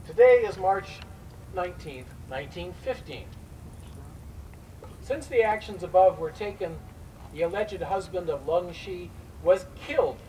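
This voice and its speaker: A man performs a formal immigration inspector of the early twentieth century in a staged reading. The male voice sits high and moves deliberately through official language. It is picked up at a distance with faint noise behind it. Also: expressive, warm tone. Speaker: reader playing an immigration official. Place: live staged reading before an event audience. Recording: distant mic, faint noise, live event.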